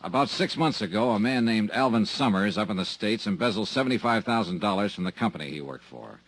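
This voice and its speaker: Hard-boiled insurance sleuth, low voice with animated inflection, deadpan wit, vintage radio. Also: male voice, quick pace, no echo, low-quality recording. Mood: wry, guarded. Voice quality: dry, sardonic, tough-guy delivery. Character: wisecracking male insurance investigator, tough and dry. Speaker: lead actor and narrator. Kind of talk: 1950s radio drama acting and narration.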